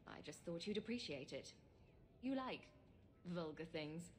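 A woman's voice: fairly low pitch, expressive, takes it slowly, slight background noise. Dry, composed female game hero. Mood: gently teasing. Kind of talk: video game dialogue acting.

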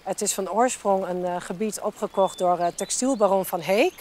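A woman's voice: medium pitch, normal speed, darkish tone, a little hiss or hum. A woman who owns a hotel, welcoming guests and explaining things politely with frequent hesitations. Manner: polite and informative, with fillers. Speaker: hotel owner.

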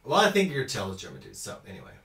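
A man in his thirties from Houston, Texas, teaching cello and chatting his way through a live-stream Q&A. His voice sits a little low, and he talks loosely, answering viewers and laughing easily. The small home room gives the sound a noticeable echo. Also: huge pitch swings, rapid-fire, creaky, bright, forceful tone. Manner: chatty, reads out viewer comments, laughs often. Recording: roomy echo.

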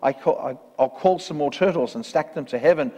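A man's voice: on the high side, fairly quick, mellow, lightly acted. A man voicing a pompous, power-hungry turtle king in a children's storybook, bellowing boasts and commands in a reverberant hall. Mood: indignant, defiant. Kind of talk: read-aloud children's storybook in verse. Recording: echoey hall with long reverb.

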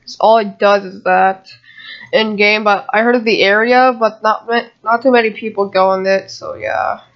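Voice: mid-range voice, fairly even intonation, moderate pace. Dull-sounding young-voiced gamer rambling through gameplay. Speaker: gamer narrating own gameplay video. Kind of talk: casual gameplay commentary. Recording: dull lo-fi home microphone.

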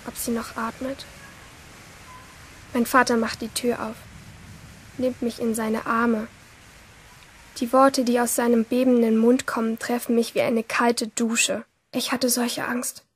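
Intense, higher-pitched anguished teenage girl. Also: flat delivery, a little slow, long pauses, husky. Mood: shaken, subdued. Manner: intense, short poetic phrases. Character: anguished teenage girl narrating her night of guilt. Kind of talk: radio play interior monologue. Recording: music behind the voice.

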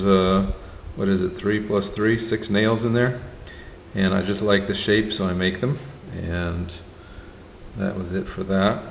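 A middle-aged man casually showing his small welding projects, talking plainly and hesitantly with many fillers and pauses. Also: low-pitched, gravelly, a little hiss or hum. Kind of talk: casual show-and-tell narration. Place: tiled room at home. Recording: roomy echo with long reverb and faint ambience.

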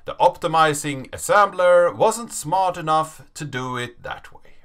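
A man in his thirties reads a story aloud in a dramatic, deliberate way. His voice is crisp-edged and bright, a little high for a man, with lively swings in pitch and a slow pace. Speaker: narrator reading a story aloud. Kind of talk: dramatic reading of a written story.

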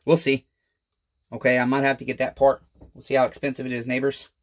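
A man's voice: medium pitch, completely flat delivery, brisk. A man in his forties hosting a small engine repair vlog, chatting folksily with his viewers from a cluttered home workshop. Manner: folksy, talks straight to viewers as neighbors, frequent fillers. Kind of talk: casual rambling vlog to viewers.

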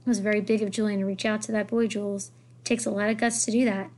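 Woman acting a quiet, conciliatory mother, slightly husky. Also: medium pitch, level tone, fast, close to an everyday voice. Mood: gentle, supportive. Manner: quiet, gentle. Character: conciliatory, tearful mother, ready to back down.

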